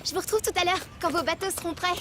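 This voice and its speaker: A woman's voice: very high voice, racing, background noise. A woman voicing a cheerful, easygoing young child in a French children's cartoon, with a friendly, natural manner. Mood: curious, easygoing.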